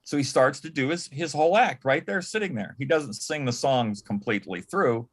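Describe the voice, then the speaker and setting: A man speaks as a panel guest, sharing stories from his time as an assistant. His delivery is halting and conversational, with phrases doubled and sentences begun again. His pitch sits in the middle range for a man. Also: expressive. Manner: halting, repeats words and restarts sentences often. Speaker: panel guest, former personal assistant to an entertainer. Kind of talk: anecdotal storytelling in a panel interview.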